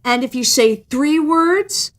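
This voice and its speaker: Woman voicing a haughty, higher-pitched storybook cat. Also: expressive, a bit bright, full character voice. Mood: sly, bargaining. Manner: haughty, declaiming, pleading with drawn-out words. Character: proud, cunning, aloof wild male cat.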